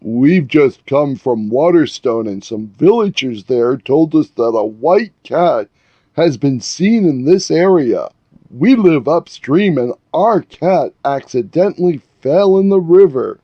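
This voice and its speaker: A man voicing a villain's sly henchman in a storybook, measured and falsely polite. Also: a little high, expressive, no echo, dull audio.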